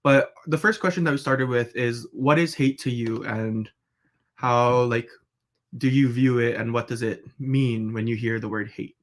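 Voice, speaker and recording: A young man from Hawaii in his twenties hosting a casual podcast, relaxed and halting, with many fillers. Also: mid-range voice. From Kauai, Hawaii. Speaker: podcast host.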